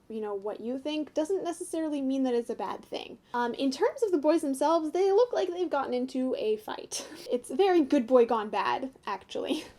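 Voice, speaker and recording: A woman's voice: fairly high pitch, warm tone. A young North American woman chatting casually to viewers in a fan analysis, giggly and tentative as she thinks aloud. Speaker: YouTube host giving fan commentary.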